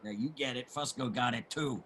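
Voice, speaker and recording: Man playing combative homeless braggart, husky, sharp-edged, crude street talk, stage play reading. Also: medium pitch, fairly quick. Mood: gossiping, mocking. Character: brash, boastful, gossiping homeless ex-merchant-marine man.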